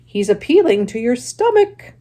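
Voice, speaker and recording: A woman voicing a bossy, excitable female farm goose in a storybook, shrill and urgent, repeating her words. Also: normal speed. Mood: pleading.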